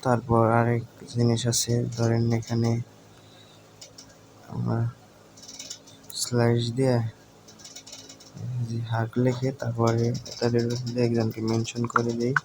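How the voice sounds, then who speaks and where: Flat-voiced Bangladeshi man explaining step by step. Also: mid-range voice, unhurried, pauses often, poor audio. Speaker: tutorial presenter. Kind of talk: informal screen-recording tutorial narration. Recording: home recording with faint background noise.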